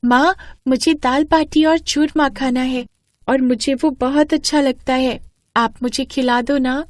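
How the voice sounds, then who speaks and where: A woman's voice: high voice, fairly even intonation, unhurried, no echo, studio quality. Woman putting on a sweet, pleading little girl.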